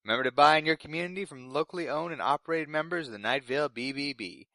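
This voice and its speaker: A man's voice: a little high, fast talker, pushed and bright, dry recording. A man playing an earnest young radio intern. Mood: chatty, uneasy. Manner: polite, earnest delivery of absurd news. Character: nervous, eager young male radio station intern. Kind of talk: scripted fiction podcast in community radio broadcast manner.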